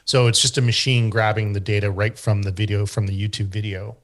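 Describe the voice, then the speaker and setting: A Canadian man explaining website search topics to an online group in a relaxed way, with many fillers and restarts. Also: lowish voice, fairly quick. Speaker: guest expert on website SEO.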